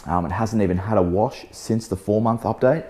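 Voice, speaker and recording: Low mellow guy, bit hoarse, losing his voice, chill chatty detailing vlog talk. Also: normal speed. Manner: relaxed, chatty, frequent "um" and "so yeah". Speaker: car detailing channel host. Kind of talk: casual product-test vlog narration. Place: outdoors beside a test panel.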